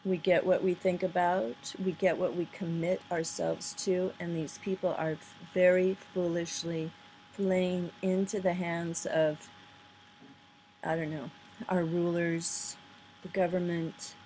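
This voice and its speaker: A woman's voice: lowish voice, little pitch movement, slow, mellow, slight background noise. A woman giving rambling, earnest, off-the-cuff commentary in a casual style, on a poor-quality home recording. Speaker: video commentator. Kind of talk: casual off-the-cuff commentary over played videos.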